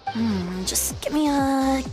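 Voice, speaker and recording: A woman's voice: high voice, expressive, takes it slowly, over loud music. A woman playing a playful, whimsical young male bard in an anime game, with a lilting, theatrical and poetic delivery. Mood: mock-innocent.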